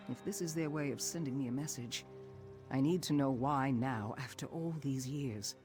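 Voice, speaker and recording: A man voices a sardonic veteran thief of noble birth in a fantasy video game. The voice is mid-pitched, with a dry, haughty, formal delivery. Music plays behind the words. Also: lightly acted.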